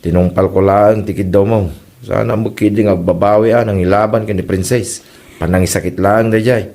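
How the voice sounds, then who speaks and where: A low male voice with an Ilocano Filipino accent gives us a teasing, easygoing older village husband, acted out by a man. The delivery is conversational comedy-drama dialogue, loose and playful. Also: fairly even intonation, normal speed, dark tone, lightly acted. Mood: casual, grumbling.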